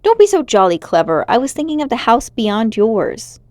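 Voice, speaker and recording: A woman voicing an excitable, brooding and adventurous schoolboy in a storybook, speaking emphatically. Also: a little high, moderate pace, no room sound. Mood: eager, bold. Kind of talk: storybook character voice.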